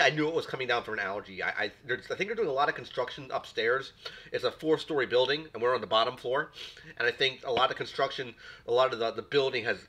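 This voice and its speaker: A man's voice: medium pitch, sharp tone. Man with a cold rambling on stream. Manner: rambling, repeats words, greets chat viewers. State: recovering from a cold. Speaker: stream host. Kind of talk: casual live-stream chat.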